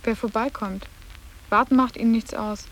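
A woman plays a defiant, sarcastic schoolgirl in a radio drama. Her voice is high and pert, and she recites her lines precisely. Long echoes hang around it, as in an empty hall. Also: fairly even intonation, moderate pace, fairly bright tone, natural voice. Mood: earnest, insistent. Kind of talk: literary radio drama acting. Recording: echoing, reverberant hall.

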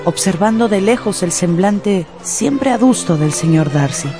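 A woman narrating a literary radio drama in an expressive, deliberate storytelling style. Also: medium pitch, over music.

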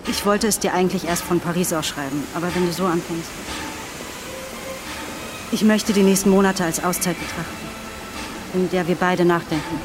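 A woman's voice: lowish voice, steady tone, normal speed, long pauses, background noise, natural voice. An actress playing a hurt but firm young woman confronting her lover in a naturalistic German radio drama. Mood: guarded firmness. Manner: reproachful, resolute. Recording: radio drama with music underneath.

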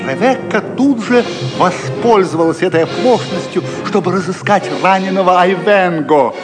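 A male narrator delivers a scripted, dramatic story. His voice sits a little high and sounds theatrical and solemn. Music is clearly heard behind him. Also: normal speed.

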